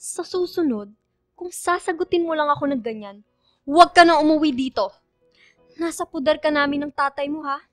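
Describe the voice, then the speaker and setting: A woman's voice: sits high, moderate pace, dry recording, clean studio sound, soft background music, lightly acted. A woman playing a stern, controlling mother in a Filipino radio drama, scolding and commanding. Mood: angry, commanding.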